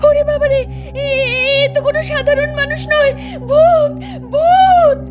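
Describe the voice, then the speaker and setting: A woman's voice: very high-pitched, fairly even intonation, a little slow, dull audio. A woman voicing an anxious young village pupil in a horror cartoon. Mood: terrified. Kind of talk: dubbed horror cartoon dialogue. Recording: music under the voice.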